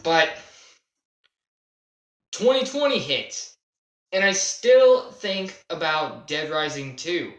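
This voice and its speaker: A young man telling a casual, unscripted gaming story in a halting, self-deprecating way, stressing key words as he goes. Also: on the high side, animated, fairly bright tone. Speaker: video creator telling a personal gaming story. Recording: roomy, echoey home recording.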